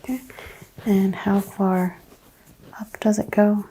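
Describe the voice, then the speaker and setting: A soft-spoken woman playing a chiropractor in an ASMR roleplay, hushed and often drifting into a whisper. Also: mid-range voice, flat delivery, takes it slowly, breathy edge, rough recording. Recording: faint background noise.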